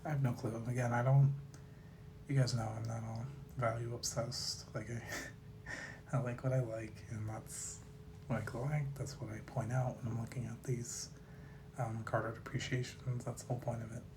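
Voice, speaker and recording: Slightly raspy man over noticeable background noise. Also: medium pitch, little pitch movement, moderate pace. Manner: laid-back, musing, trailing off mid-thought. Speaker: host of a card collecting video series. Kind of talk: casual rambling commentary to viewers.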